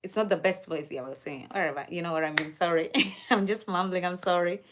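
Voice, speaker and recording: A Kenyan woman hosting a livestream, chatting casually and answering viewer comments in an unhurried, chatty way. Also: on the low side, background noise. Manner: unhurried, chatty, with fillers and soft laughs. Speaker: livestream host.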